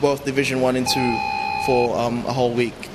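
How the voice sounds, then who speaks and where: Man from the Philippines, interview guest, hesitant phrasing, even intonation, noticeable background noise. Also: mid-range voice, normal speed. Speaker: youth basketball coach, interview guest. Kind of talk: unscripted interview answers. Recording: long reverb, clear background noise.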